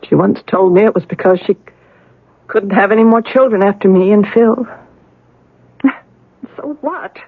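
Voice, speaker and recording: A woman's voice: mid-range voice, moderate pace, long pauses, husky, dry recording, narrow, tinny audio. An actress playing a troubled, guilt-ridden young woman, bitter and resigned, in a 1970s radio drama. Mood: bitter regret.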